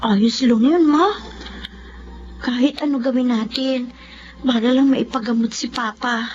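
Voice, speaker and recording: Actress as high-voiced, devoted Filipino daughter. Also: female voice, a little slow, slight background noise, close to an everyday voice. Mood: determined, pleading. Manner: gentle, coaxing, earnest. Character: devoted, tender young daughter caring for sick father. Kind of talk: Tagalog radio drama acting. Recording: muffled radio drama audio, faint music.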